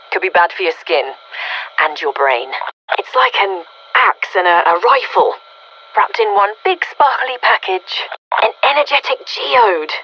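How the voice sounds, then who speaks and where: A woman's voice: mid-range voice, dull audio. An actress voicing a wry, inquisitive female research scientist in a video game, speaking expressively through a radio filter. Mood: fascinated. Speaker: video game mission commander. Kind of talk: scripted video game voice acting.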